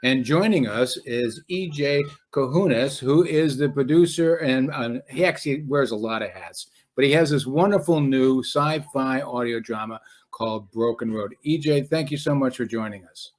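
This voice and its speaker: Male interview host, medium pitch, conversational podcast delivery. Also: normal speed.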